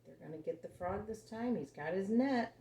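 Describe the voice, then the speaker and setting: A woman's voice: medium pitch, animated, a little fast, mellow. A woman telling a picture-book story to young children, simply and expressively, putting questions to the listener. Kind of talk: animated read-aloud storytelling for young children. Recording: roomy echo, faint background noise.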